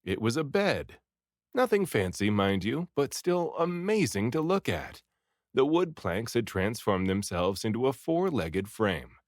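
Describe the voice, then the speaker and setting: A man reading a story aloud in an expressive, theatrical way, acting out the dialogue. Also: mid-range voice, unhurried, dry recording, studio quality. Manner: animated, theatrical delivery with acted dialogue. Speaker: audiobook reader.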